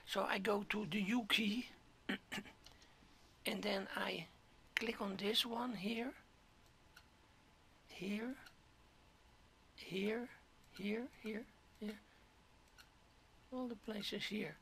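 Hoarse guy doing a slow screen tutorial, super gravelly, bit of hiss behind. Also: high voice, fairly even intonation, sharp tone. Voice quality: gravelly, keeps clearing throat. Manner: halting, step-by-step, drops to whispers. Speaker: 3D modeling tutor. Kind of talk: screen-recorded software tutorial.

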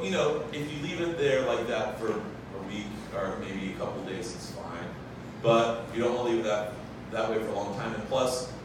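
Young man explaining shop talk in an echoey room. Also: lowish voice. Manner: chatty, explains with lots of fillers and self-corrections. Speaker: vinyl pressing plant owner speaking at a science cafe. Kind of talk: casual talk to a live audience. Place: large brick-walled bar room. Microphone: handheld mic, filmed from far off. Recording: echoey room, far camera, background noise.

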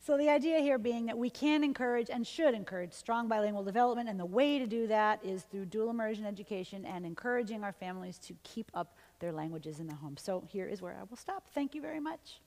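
A middle-aged woman lectures from a stage in a chatty, animated manner. Her voice sits in a mid register and carries the long reverb of a large auditorium. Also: mid-range voice, fast talker, hardly any pauses, faint music behind. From New York, USA. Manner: chatty, animated, laughs easily. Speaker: university linguistics professor giving a talk. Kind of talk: lecture and audience Q&A.

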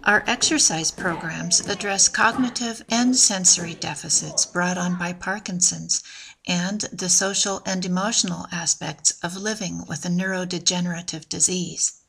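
Woman reading a measured promo voice-over. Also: lowish voice, gravelly, breathy edge, low-quality recording. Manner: even, measured, informative. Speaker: narrator. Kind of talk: scripted promotional narration.

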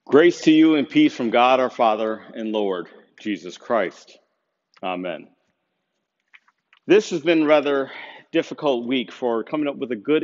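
A man preaching from a lectern, earnest and measured, his voice carrying a long reverb through a small brick chapel. The recording is a little dull and muffled, picked up by a camera across the room. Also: medium pitch. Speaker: pastor. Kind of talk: sermon.

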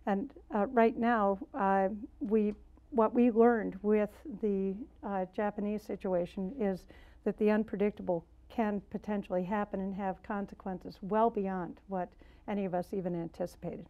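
A woman's voice: medium pitch, fairly even intonation, moderate pace. A woman in her sixties speaking unscripted as an expert on a studio panel, in slightly muffled sound. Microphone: lapel mic.

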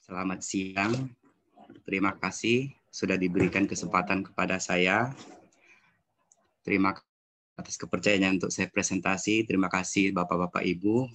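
A man in his fifties giving a slide presentation over a video call, halting and repeating phrases. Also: low-pitched, fairly even intonation, fairly bright tone, dry recording. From West Kalimantan, Indonesia. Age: 50s. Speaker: student presenter. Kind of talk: slide presentation at an online seminar.

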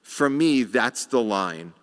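A middle-aged man, a rabbi, giving a sermon at a lectern microphone with slow, measured delivery and rhetorical pauses. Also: mid-range voice, animated, a bit bright. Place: large sanctuary, at a lectern. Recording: reverberant sanctuary sound.

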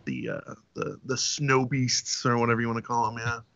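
A man's voice: medium pitch, steady tone, normal speed, gravelly, a bit bright, dry recording. Laid-back man guesting on a podcast call. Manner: laid-back, rambling, laughs often. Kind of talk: casual chat. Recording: dry, dull lo-fi call audio.

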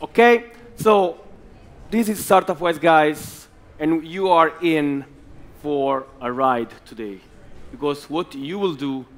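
Slow, emphatic Italian man on stage. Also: on the high side. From Italy. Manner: slow, emphatic, animated bursts. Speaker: investor and stage host. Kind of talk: conference stage talk. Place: large conference hall with audience.

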